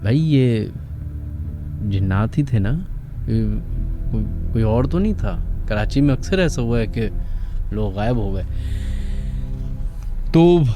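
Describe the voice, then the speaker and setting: A man's voice: lowish voice, animated, takes it slowly, long pauses, music in the background. A man with a Pakistani accent hosting a radio show, telling stories conversationally and musing aloud with rhetorical questions. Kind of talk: conversational late-night radio storytelling.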